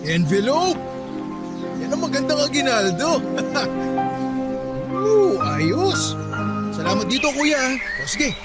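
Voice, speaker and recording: A man's voice: extremely high pitch, sing-song, slow pace, long pauses, exaggerated voice. A man acting a jolly, wheedling younger brother eager for handouts, in exclamatory radio drama dialogue. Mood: gleeful. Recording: loud background music under voice.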